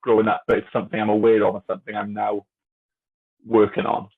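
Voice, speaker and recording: A man who stutters, speaking as an interview guest in an earnest, halting, open way. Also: lowish voice, completely flat delivery, husky. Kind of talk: reflective casual talk. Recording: tinny phone-like line.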